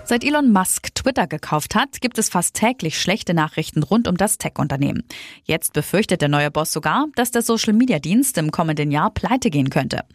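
A woman's voice: lowish voice, no room sound, clean studio sound. A woman reading tabloid news in an upbeat, scripted delivery. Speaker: newsreader.

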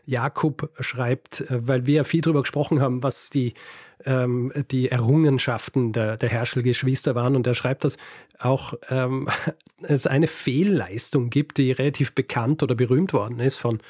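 A man's voice: medium pitch, steady tone, normal speed, darkish tone, slightly rough, dry recording, studio quality. A man with a Viennese German accent co-hosting a podcast, telling history stories in casual chat, hesitant with many fillers and small laughs. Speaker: podcast co-host.

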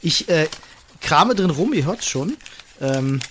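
Upbeat, chatty man with a higher voice. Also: animated, takes it slowly, a bit bright, slight background noise. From Berlin, Germany. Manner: upbeat and chatty, laughs often. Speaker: podcast co-host. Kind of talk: casual chat.

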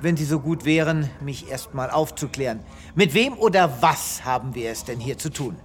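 A man's voice: mid-range voice, lively intonation, normal speed, close to an everyday voice. Man acting a pompous heroic space veterinarian. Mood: cool, assured. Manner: pompous, theatrical. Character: self-important, restless male space veterinarian, smugly heroic. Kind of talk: comedic sci-fi audio drama acting.

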